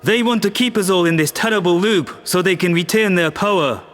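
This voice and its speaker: A man's voice: high-pitched, brisk, a bit bright, soft background music. A man voicing an earnest human diplomat. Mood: persuasive. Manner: earnest, persuasive speech-making. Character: human diplomat addressing an alien assembly.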